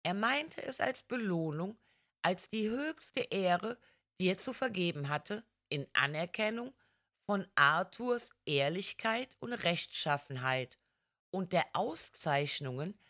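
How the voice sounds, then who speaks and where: A proud, defiant young woman from a crime audiobook , read by a female narrator, confides in earnest, formal sentences. She speaks very slowly and deliberately, in a slightly low voice. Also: animated, a bit bright. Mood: earnest confiding. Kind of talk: audiobook character reading.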